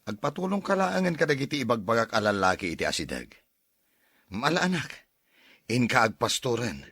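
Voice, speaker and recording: A man's voice: mid-range voice, sing-song, fairly quick, sharp tone, husky, no room sound, studio quality, full character voice. A man playing a cautioning father in a Filipino audio drama. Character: cautioning father of a young shepherd boy.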